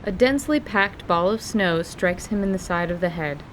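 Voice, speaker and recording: A woman's voice: lively intonation, normal speed, noisy background. A woman narrating a dramatized fantasy story from a script. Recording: rumbling background ambience.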